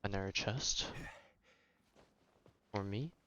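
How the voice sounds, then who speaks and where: A man's voice: lowish voice, slow pace, a bit bright, highs cut off, a little hiss or hum. A man giving casual live gameplay commentary as a streamer, slangy and rambling as he thinks aloud. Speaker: game streamer.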